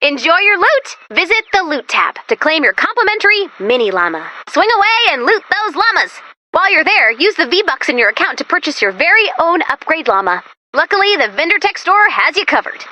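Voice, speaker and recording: A quirky female robot sidekick from a video game, pitched high and very bright. She chats in a perky, theatrical, comic way as a mission guide, swinging widely in pitch from line to line. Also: sing-song, a little fast, heavily acted. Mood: upbeat, eager. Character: upbeat, quirky female robot assistant guiding the commander.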